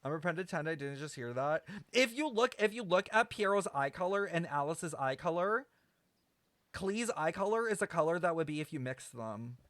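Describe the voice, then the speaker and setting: High-pitched young male streamer, slangy and joking. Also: lively intonation, moderate pace, a bit bright, no room sound. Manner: joking, sarcastic, slangy, profane. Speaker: game livestreamer. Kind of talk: casual livestream commentary.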